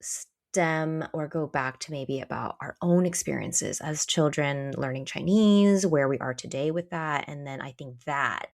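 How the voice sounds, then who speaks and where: Chatty low-voiced American woman. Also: measured pace, creaky, hardly any pauses. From United States. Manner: chatty, hesitant, many fillers. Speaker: podcast co-host, pharmacist. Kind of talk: casual podcast conversation.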